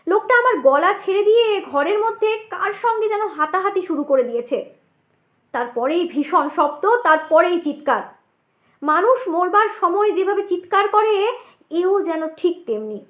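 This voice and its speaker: A woman's voice: extremely high pitch, animated, measured pace, fairly bright tone, roomy sound. A woman narrating a ghost story in the first person, in a theatrical, suspenseful storytelling manner.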